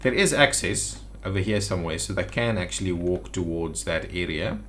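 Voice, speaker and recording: A man's voice: low voice, animated, moderate pace, a bit bright, a little hiss or hum. A man giving casual gameplay commentary as a video host, thinking aloud and muttering to himself. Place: indoors at a computer.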